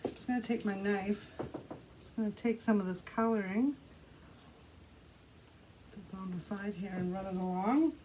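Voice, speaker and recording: A woman with a soft, mellow voice at an average pitch talks through a hands-on craft demonstration. She is casual and unhurried, describing each step as she does it. There is some faint noise behind her. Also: poor audio. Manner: unhurried step-by-step narration of own actions. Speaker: art tutorial host. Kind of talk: casual craft demonstration, talking while working. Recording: clearly audible background noise.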